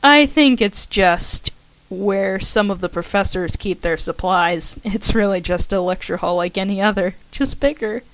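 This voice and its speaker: A woman's voice: medium pitch, measured pace, no echo, natural voice, low-quality recording. A woman voicing a friendly, teasing young college student with a playful, upbeat manner.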